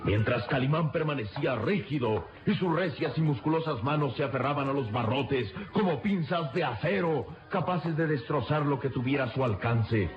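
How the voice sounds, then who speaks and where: A male narrator tells an adventure serial in old-time radio fashion. He is solemn, emphatic and suspenseful. The sound is dull and lo-fi, with loud music behind him. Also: mid-range voice, expressive, moderate pace, few pauses.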